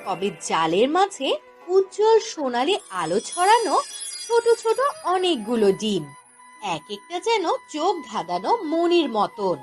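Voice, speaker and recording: A woman's voice: high voice, sing-song, measured pace, darkish tone, faint music behind. A woman narrating a scripted fairy tale for a cartoon as the storyteller.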